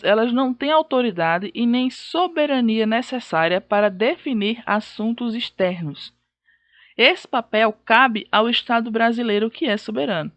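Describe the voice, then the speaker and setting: A woman's voice: a little high, dry recording. A woman with a Brazilian accent giving a recorded history lesson, explaining in a didactic way and repeating key points. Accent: Brazilian Portuguese. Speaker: teacher.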